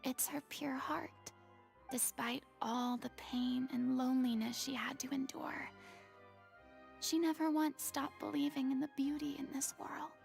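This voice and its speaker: A woman voicing a reclusive young female stage star in a video game, earnest and wistful, with a soft, breathy edge. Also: high-pitched, little pitch movement, measured pace, faint music behind, heavily acted. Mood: earnest, tender.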